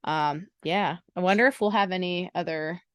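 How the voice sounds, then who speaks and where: Chatty young woman podcast co-host, smooth low voice. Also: moderate pace, dry recording, clean studio sound. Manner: chatty and enthusiastic, playful teasing. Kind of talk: casual sports podcast chat.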